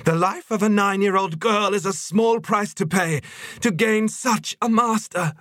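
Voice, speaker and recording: A man voicing a fanatical, bony female creature in an audiobook, cold and ecstatic, with fervent, drawn-out delivery. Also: high-pitched, fairly bright tone, no room sound, clean studio sound, pitched above the performer's own voice. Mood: ecstatic, obsessed.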